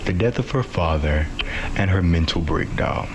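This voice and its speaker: A man calmly narrating an explanatory video essay from a script. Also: deep voice, normal speed, noisy background. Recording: music bed underneath.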